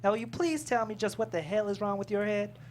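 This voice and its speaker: A woman's voice: medium pitch, fast talker, darkish tone, a little hiss or hum, close to an everyday voice. A woman playing a blunt, world-weary male grasshopper with a brash manner in a comedy table read. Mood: exasperated.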